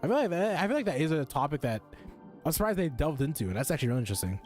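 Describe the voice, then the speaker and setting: A man's voice: on the high side, sing-song, rapid-fire, darkish tone, faint music behind. A man streaming a game, commenting casually and musing aloud in an informal way.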